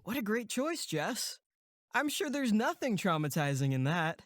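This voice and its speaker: A woman acting in an audio drama as a sensible, sardonic young camp counselor with a measured, deadpan delivery. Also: medium pitch, no room sound, clean studio sound.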